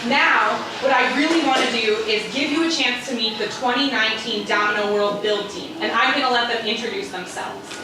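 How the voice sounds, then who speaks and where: An upbeat woman with a high voice emcees a live event, calling for applause and walking the crowd through what comes next. She is heard from a distance in a big echoey hall, with steady crowd noise behind her. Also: fairly quick, few pauses. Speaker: event host. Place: large echoey museum hall.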